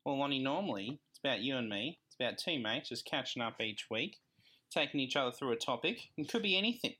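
A man's voice: medium pitch, animated, fast talker, sharp tone, husky. A man, co-hosting a casual podcast in a laid-back, dry way. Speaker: podcast co-host.

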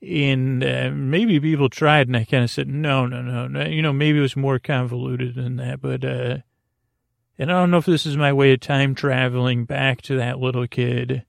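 Creaky-voiced man rambling a bedtime podcast. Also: mid-range voice, steady tone, moderate pace, no echo, clean studio sound. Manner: meandering, hesitant, reassuring, gently goofy. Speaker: podcast host. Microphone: close to the microphone.

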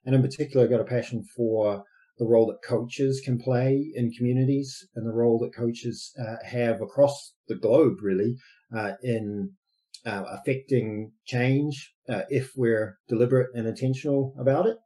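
A man with a New Zealand accent talks through his ideas in a podcast interview, pausing often on fillers as he builds each point. His voice is very dark and mellow, with a husky, slightly breathy edge. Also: medium pitch, fairly even intonation. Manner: thoughtful, explanatory, many fillers. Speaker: guest, basketball coach and social entrepreneur.

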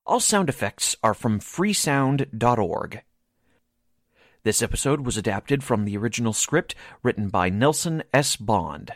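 Male announcer, medium pitch, lively intonation, formal scripted radio-theatre delivery, very dry close recording. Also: a little slow, no echo.

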